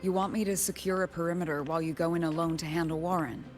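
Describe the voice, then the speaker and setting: Actress as a low-voiced commanding woman, game superior. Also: steady tone, faint music behind, close to an everyday voice. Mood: composed. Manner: formal, measured, commanding. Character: authoritative, composed female academy superior. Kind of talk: video game voice acting.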